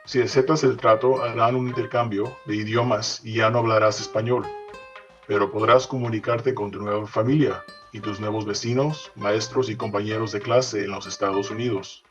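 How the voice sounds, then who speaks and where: A man's voice: lowish voice, steady tone, fast talker, close to an everyday voice. A man playing a matter-of-fact bilingual interpreter in an audio story, on a dull recording. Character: brisk male interpreter relaying between Spanish and English. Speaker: voice actor reading a fiction podcast story. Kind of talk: dramatized short story reading. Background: music under the voice.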